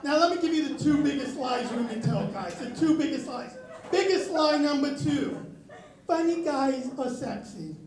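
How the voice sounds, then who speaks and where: A young man doing a self-mocking stand-up set with a very raspy, high voice, on a club stage. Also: moderate pace. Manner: self-mocking deadpan delivery. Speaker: stand-up comedian. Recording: echoey club with crowd. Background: audience laughter.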